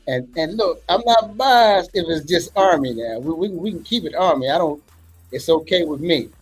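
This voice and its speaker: A man hosting a casual interview show. He is emphatic and energetic, repeats phrases and jumps in with lively interjections. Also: fairly high pitch, moderate pace, breathy edge. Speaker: show host.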